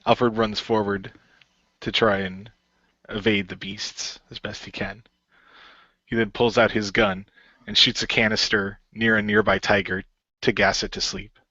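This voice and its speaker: A man's voice: lowish voice, level tone, a little slow, pushed and bright, no echo, lo-fi sound. A man narrating his character's actions in an amateur radio play. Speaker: narrator and player.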